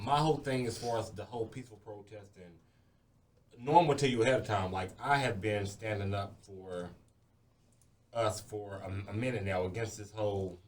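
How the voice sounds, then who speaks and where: A man in a podcast discussion, talking emphatically and outspokenly. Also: on the low side. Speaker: podcast participant. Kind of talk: casual discussion. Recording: distant mic with faint background noise.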